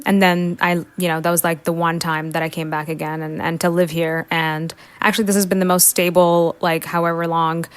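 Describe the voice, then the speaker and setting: Guest: young woman, from South India, low smooth voice, chatty with fillers, dry sound. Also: level tone, a little fast, few pauses, dry recording. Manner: talkative, many fillers, laughs often. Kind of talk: casual podcast interview.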